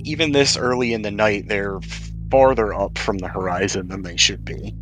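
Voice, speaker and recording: A man with a low voice runs a tabletop roleplaying game as game master. He narrates and explains rulings over faint music. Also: moderate pace, rough recording.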